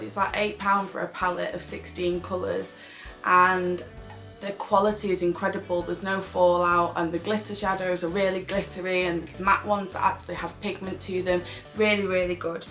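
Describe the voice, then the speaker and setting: A woman's voice: fairly low pitch, a bit bright. A British woman chatting casually as a beauty vlogger reviewing products.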